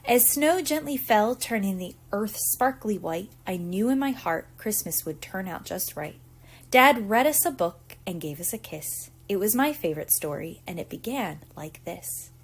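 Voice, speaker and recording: A woman reading a picture book aloud to young children in an expressive, theatrical storytelling manner. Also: mid-range voice, slightly rough. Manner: deliberate, theatrical storytelling with acted emphasis. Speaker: preschool teacher reading aloud.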